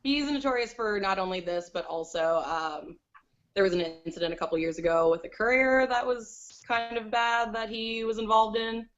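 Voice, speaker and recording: A woman speaking as a podcast guest over a video call, chatty and quick, with lots of "like" fillers. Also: a little high, fairly bright tone, muffled recording. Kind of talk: casual interview chat.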